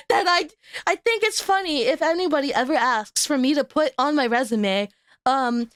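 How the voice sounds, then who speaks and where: A woman's voice: sits high, expressive, moderate pace, fairly bright tone, no room sound, clean studio sound. A young woman chatting casually on her stream, rambling and laughing at herself, with a stutter and many restarts. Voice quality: stutters, with frequent repeats and restarts. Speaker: VTuber streamer hosting a guest. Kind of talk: casual chat.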